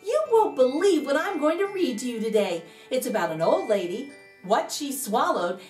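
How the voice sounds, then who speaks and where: A middle-aged woman reading a picture book aloud to children, theatrical and rhythmic, drawing out the ends of lines. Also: sits high. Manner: theatrical, rhythmic delivery with drawn-out line endings. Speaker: children's story reader and channel host.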